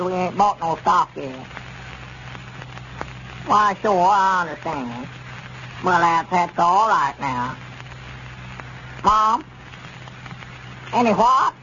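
High pitched old southern storekeeper, folksy n grumbly, old muffled 1930s radio comedy. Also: male voice, a bit bright. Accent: rural Southern US. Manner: homespun, plain-spoken, grumbling. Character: folksy, easily puzzled old country storekeeper. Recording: dull, noisy 1930s radio recording.

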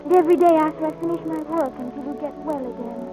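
A man's voice: very high voice, quick pace, rough recording. A young male actor playing an earnest, tender ranch boy in a 1940s radio drama. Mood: tender, sorrowful. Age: child or young teen. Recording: old radio drama, music behind.

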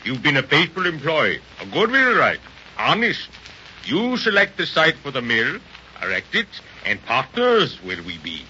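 Hearty settler boss, very forceful, old radio. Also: male voice, fairly high pitch, huge pitch swings, measured pace, exaggerated voice. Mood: jovial, businesslike. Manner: expansive, proprietorial, hearty. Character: John Sutter, hearty, ambitious immigrant settler and landowner. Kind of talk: 1930s radio acting. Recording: muffled, hissy 1930s radio recording.

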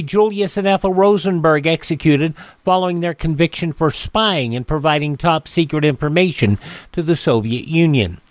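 A man's voice: high-pitched, dry recording. An American man hosting a radio program and reading items in an announcer-like way, with some hesitations. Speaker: radio show host.

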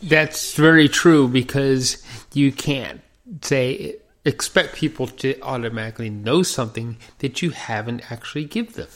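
Man, co-host, brief agreeing remarks, middle pitch. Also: unhurried, fairly bright tone.

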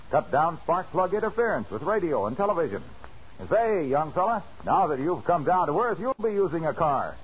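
An actor playing a genial, eager spark plug salesman with a husky voice and folksy patter in a 1940s radio advertisement. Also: a little high, full character voice. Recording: dull 1940s radio recording.

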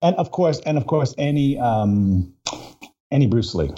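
A man's voice: mid-range voice, expressive, unhurried, mellow, muffled recording. A man in his fifties, a guest in a casual interview, rambling with many fillers and restarts as he recalls things. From grew up overseas, mainly Singapore.

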